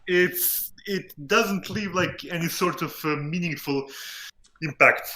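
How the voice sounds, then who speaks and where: A man's voice: high voice, takes it slowly, crowd noise. A young man co-hosting a casual podcast, speaking hesitantly with many fillers. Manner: hesitant, filler-heavy, hedging. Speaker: podcast co-host. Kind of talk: casual group chat.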